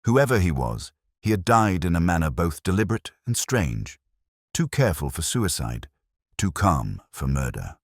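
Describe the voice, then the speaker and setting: A man playing a melancholy, cerebral police detective, narrating a mystery audio drama in a measured, literary, reflective manner. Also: low-pitched, sing-song, dry recording, clean studio sound, exaggerated voice. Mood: brooding, melancholy.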